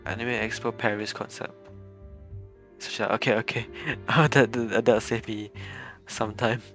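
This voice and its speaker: A man's voice: fairly low pitch, level tone, a little slow, a bit bright, faint music behind. Chatty man streaming a game. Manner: chatty, laughing, reacting on the fly. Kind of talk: casual live-stream commentary.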